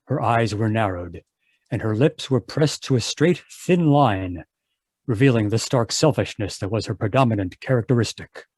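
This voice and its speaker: A man's voice: fairly low pitch, expressive, moderate pace, darkish tone. A man narrating an old-time radio adventure as its announcer, reading scripted lines.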